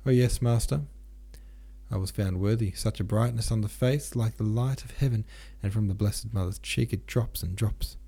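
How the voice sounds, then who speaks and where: A garrulous old pilgrim woman from a storybook, voiced by a man, rambling on with earnest piety. The voice is creaky and a little husky, and she is quick to admonish and easily shocked. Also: lowish voice, mellow. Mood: reverent. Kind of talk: audiobook character reading.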